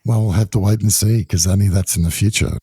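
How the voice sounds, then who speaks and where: A man guesting on a podcast, talking in a casual, measured, down-to-earth way, with a croaky voice. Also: on the low side, fairly even intonation, warm tone, no room sound, clean studio sound. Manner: measured, down-to-earth, urges sticking to facts.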